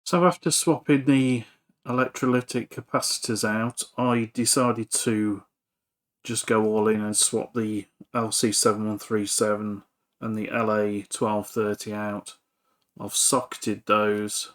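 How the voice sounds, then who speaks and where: A man casually talking through a repair in a workshop, hesitant, thinking aloud with frequent pauses. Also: lowish voice, fairly even intonation. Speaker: electronics hobbyist and repair vlogger. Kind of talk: casual workbench talk-through. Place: home workshop.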